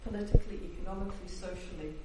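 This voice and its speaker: A woman's voice: medium pitch, steady tone, very fast. Woman academic giving a hesitant book talk, heard from a distance in a very echoey hall. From Netherlands, raised in New Zealand. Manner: hesitant, many fillers and restarts. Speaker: historian presenting her book. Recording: echoey hall, distant mic, faint hiss.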